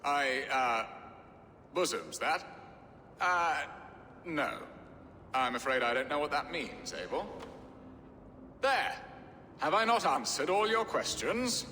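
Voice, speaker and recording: Foppish middle-aged game lord: florid, theatrical, highish lively delivery. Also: male voice, takes it slowly, long pauses, exaggerated voice. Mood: flustered, brisk. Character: foppish, flowery middle-aged English lord, easily flustered. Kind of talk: theatrical video game acting. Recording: reverberant hall, faint background noise.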